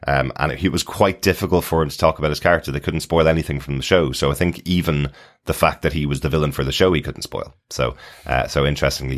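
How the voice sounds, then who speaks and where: A man co-hosting a podcast, talking casually about a TV series in an agreeable, enthusiastic manner. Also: very deep voice. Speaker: podcast co-host. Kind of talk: casual TV recap chat.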